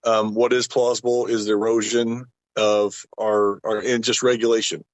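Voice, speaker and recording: Middle-aged male panel guest, folksy and informal, low voice, dull dry recording. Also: flat delivery, moderate pace, no echo. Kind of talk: casual opinion talk.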